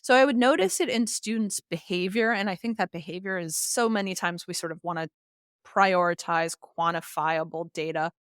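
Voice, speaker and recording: A woman speaks in a fairly low voice, recorded dry with very little room sound. She explains her points earnestly and articulately, in the style of an expert being interviewed. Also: moderate pace, a bit bright, clear, resonant tone, no echo. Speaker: literacy expert and author, podcast guest.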